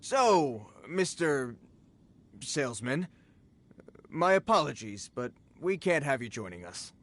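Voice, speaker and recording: A man's voice: medium pitch, sing-song, takes it slowly, fairly bright tone, heavily acted. An actor playing a brash male bandit leader in a video game, speaking cocky and then nervously polite. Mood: servile.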